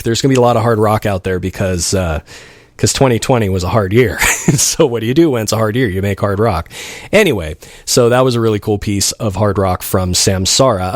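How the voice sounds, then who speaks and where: A man's voice: medium pitch, no echo, clean studio sound. A man hosting a music radio show, talking casually and rambling between songs with a self-deprecating, chatty manner.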